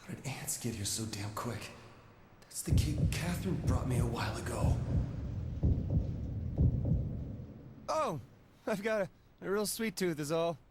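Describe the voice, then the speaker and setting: A man's voice: fairly high pitch, lively intonation, normal speed, very noisy, lightly acted. A man voicing a nervous, bewildered young man in a video game cutscene, stammering and hesitant. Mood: flustered. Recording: music under the voice.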